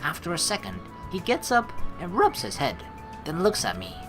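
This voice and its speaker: A woman's voice: fairly low pitch, huge pitch swings, moderate pace. A woman doing a playful let's play, reading game narration aloud and adding teasing, jokey asides. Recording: music behind the voice. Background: game music.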